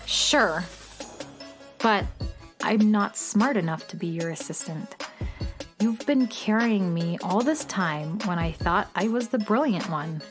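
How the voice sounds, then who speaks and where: An actress playing a proud, prickly young woman in a children's radio drama, speaking slowly with sarcasm. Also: medium pitch, animated. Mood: sulky. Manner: slow, sulky and sarcastic. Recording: music playing behind.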